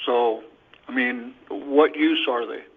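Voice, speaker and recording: A man's voice: medium pitch, slow, husky. A man giving testimony as a caller on a telephone conference call, matter-of-fact in manner. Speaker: patient caller giving a complaint. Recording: thin, narrow phone line.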